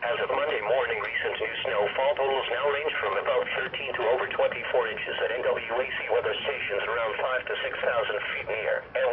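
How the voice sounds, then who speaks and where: Automated male weather-radio announcer, measured and formal synthetic read, thin phone-like sound buried in heavy hiss. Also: medium pitch. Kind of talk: formal scripted weather bulletin reading. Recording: tinny narrow-band radio with loud static.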